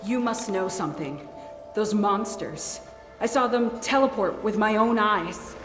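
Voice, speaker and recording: A woman's voice: medium pitch, unhurried, close to an everyday voice. A woman playing a blunt, commanding female agent who interrogates people in a video game cutscene. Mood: urgent, demanding. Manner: blunt, demanding, swearing. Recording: echoey hall reverb under game music.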